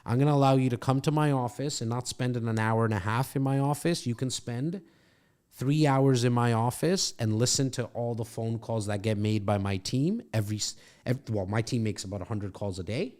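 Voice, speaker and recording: A man's voice: mid-range voice, moderate pace, a little mellow. A middle-aged man hosting a podcast, coaching a guest in a brash, profane pep-talk manner from his studio. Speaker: podcast host and real estate coach. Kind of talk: casual mentoring chat. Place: home studio.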